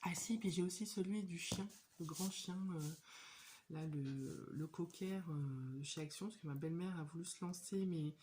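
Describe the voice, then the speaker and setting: A woman in her thirties with a cold, chatting casually and hesitantly about her crafting hobby, with many pauses and fillers. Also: fairly low pitch, warm tone, a little hiss or hum. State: has a cold. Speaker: hobby vlogger. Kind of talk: casual show-and-tell chat.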